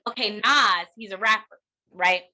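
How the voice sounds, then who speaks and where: A middle-aged American woman delivering an impassioned keynote talk in an emphatic, deliberate way, with rhetorical pauses and repetition. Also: medium pitch, sharp tone. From United States. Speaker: keynote speaker and educator.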